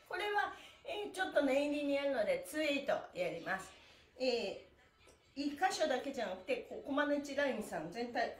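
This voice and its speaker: A middle-aged woman with a high, bright-hearted, cheerful delivery teaches stretching. She is polite and encouraging as she guides the movements. The room adds a clear echo around her voice, picked up a little way from the camera. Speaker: stretching instructor and pantomime performer. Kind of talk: live-streamed exercise lesson with counting chants. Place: small indoor room on an exercise mat. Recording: roomy echo from camera distance.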